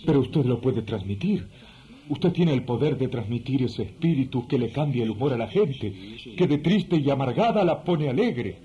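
A man's voice: medium pitch, animated, normal speed, warm tone, lo-fi sound, close to an everyday voice. A man acting in a radio drama as an eager, scheming magician, insistent and wheedling.

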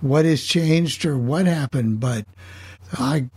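A man hosting a casual group call in an unhurried, folksy way, with a husky voice. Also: mid-range voice, darkish tone. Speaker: host of club call.

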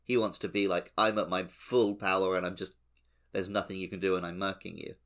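A man's voice: deep voice, level tone, brisk, a bit bright. A man in casual gaming podcast chat, informal and musing, as if telling anecdotes between friends. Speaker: podcast co-host; teacher.

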